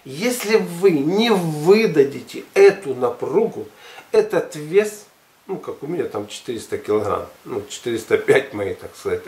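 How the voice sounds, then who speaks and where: A man in his sixties talking casually to camera in a halting, emphatic, colloquial way. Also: on the high side, a little mellow. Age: 60s. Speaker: health and fitness vlogger. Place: furnished living room at home, indoors. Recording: echoey room, camera at a distance.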